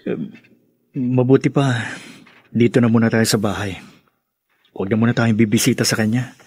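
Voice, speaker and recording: Mild, conciliatory young Filipino family man played by a male actor, radio drama, slightly hesitant husky voice, dry recording. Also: mid-range voice, fairly even intonation, moderate pace, a little mellow, no echo, close to an everyday voice. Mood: soothing. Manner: hesitant, placating. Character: mild, conciliatory husband and dutiful son.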